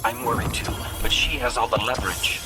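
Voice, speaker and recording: A man's voice: mid-range voice, brisk, over loud music, natural voice, low-quality recording. A man playing a worried, loyal comrade who clings to hope, in dramatic video game dialogue. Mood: worried, hopeful.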